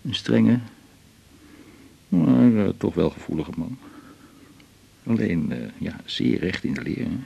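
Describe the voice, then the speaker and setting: Low, gravelly, melancholy man in his forties. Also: expressive, warm tone, dull audio, full character voice. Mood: pained remembering. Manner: confiding, halting, reflective. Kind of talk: naturalistic radio drama dialogue.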